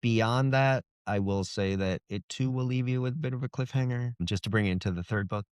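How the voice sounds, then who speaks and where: A man's voice: medium pitch, a little fast, a little mellow, smooth, no room sound, clean studio sound. A man speaking conversationally as an author in an interview, explaining how he writes. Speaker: author being interviewed about his book.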